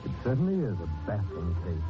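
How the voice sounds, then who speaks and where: An actor playing a sinister, taunting narrator who savors murder in a 1940s radio thriller. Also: male voice, low voice, big pitch swings. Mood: menacing relish. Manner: deliberate, ominous, taunting address. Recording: muffled 1940s radio recording, loud music.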